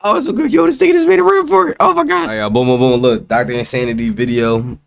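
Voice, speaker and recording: Hoarse young man, slangy reaction talk. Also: mid-range voice, very fast, a bit bright. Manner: slangy, loose, talks straight to viewers. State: hoarse, voice recovering from strep throat. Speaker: streamer reacting to a video. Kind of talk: casual reaction commentary.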